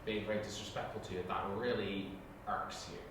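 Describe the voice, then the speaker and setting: A man's voice: on the low side, steady tone, brisk. A man giving casual spoken instructions as an audition director. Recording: distant, very echoey room with background noise.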